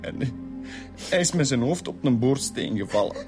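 An actor playing a grieving, defensive Flemish father in a radio drama, speaking in a halting, pleading way. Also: medium pitch, expressive, natural voice. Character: grieving, defensive young father hiding a secret. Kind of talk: naturalistic radio drama acting. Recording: music behind the voice.

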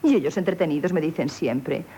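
An actress playing a shrewd, flattering innkeeper, coquettish and chatty, in a radio play. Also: female voice, sits low, fairly quick, slightly rough. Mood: chatty, coy. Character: shrewd, flattering, independent young innkeeper woman. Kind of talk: theatrical radio play acting in Castilian Spanish.

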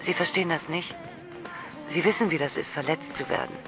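A woman's voice: low voice, brisk, music in the background. An actress playing a lonely, shy woman who calls a man on the phone, tipsy, nervous and obsessive, often whispering. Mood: confiding. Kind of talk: radio drama acting.

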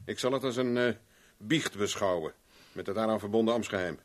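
An actor playing a cool, determined private investigator with a dry, self-assured manner in a 1970s radio play. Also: male voice, fairly low pitch, fairly quick, natural voice. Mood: in control. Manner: dry, self-assured, talks to himself while searching. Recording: noisy old radio drama recording.

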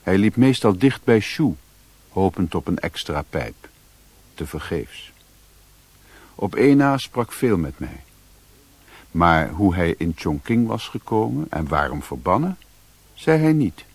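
Deep, husky adventurer narrator. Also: male voice, sing-song, unhurried, pauses often, no room sound, full character voice. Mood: subdued. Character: male adventurer recounting his arduous journey, sombre. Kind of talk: scripted radio play narration.

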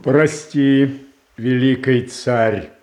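An actor in a radio play playing a proud, blunt prince who speaks in a solemn, declamatory, reproachful manner. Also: male voice, mid-range voice, steady tone, drawn-out words, darkish tone. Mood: bitter. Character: proud, blunt, indignant old-Russian prince. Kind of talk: theatrical radio drama acting.

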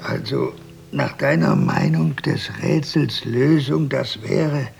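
A man playing a skeptical, simple-minded, grumbling old man with a gravelly, husky voice in a German radio drama. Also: on the high side, takes it slowly, music in the background, heavily acted. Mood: grumbling, wary.

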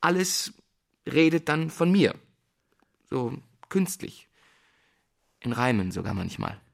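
A man's voice: medium pitch, big pitch swings, slow pace, vocal fry, exaggerated voice. An actor playing a gifted young male teacher in a drama, defensive and brittle, clipped and proudly self-assured. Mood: subdued. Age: young adult. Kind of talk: German stage-style radio play dialogue.

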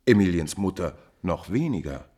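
An actor playing a calculating, coolly insolent court adviser in a classical German radio drama, with a measured, formal, insinuating delivery. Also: male voice, deep voice, lively intonation, mellow. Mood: coolly lecturing.

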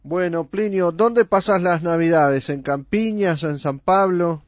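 A man with a Uruguayan Spanish accent hosts a radio interview and chats easily with his guest. His voice sits a little high. The sound is dry and somewhat dull. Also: unhurried, dry recording. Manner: friendly, conversational interviewer. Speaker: radio host.

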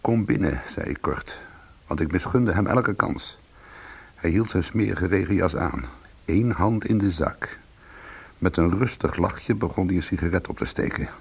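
A man playing a bitter, defiant ex-convict in a Dutch radio play, with a gravelly, husky voice and a sardonic manner. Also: sits low, moderate pace, full character voice. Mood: tense, confiding. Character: bitter, defiant male ex-convict trying to go straight. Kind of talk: Dutch radio drama dialogue and narration.

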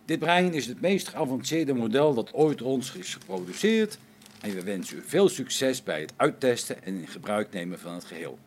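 A man's voice: mid-range voice, animated, moderate pace, a little hiss or hum, close to an everyday voice. A man playing a grumbling, sarcastic space-station technician in a radio play, complaining with exasperation. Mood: impatient. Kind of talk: Dutch radio play acting.

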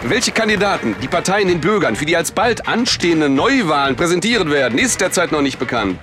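Male reporter, animated melody, formal, scripted bulletin, radio play, soft music bed. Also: a little high, fairly quick. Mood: matter-of-fact. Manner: formal, factual.

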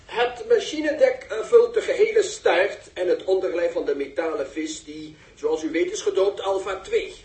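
High-pitched, echoey actor as florid male reporter. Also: moderate pace, hardly any pauses, a little mellow, lightly acted. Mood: hushed, explanatory. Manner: florid, explanatory, dramatic. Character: eager male television reporter giving live spaceship commentary. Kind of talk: 1960s radio drama live commentary. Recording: echoey, distant old radio recording.

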